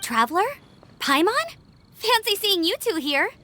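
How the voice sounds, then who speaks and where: A woman's voice: extremely high pitch, very animated, unhurried, background noise, full character voice. An actress voicing a cheerful, friendly young woman scout in a game.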